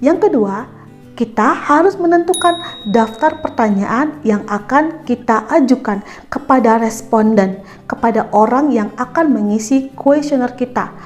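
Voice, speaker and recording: A woman's voice: a little high, expressive, measured pace, darkish tone. A woman narrating a school lesson in an instructive way, addressing pupils directly and checking in with questions. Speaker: teacher presenting an educational video. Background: music.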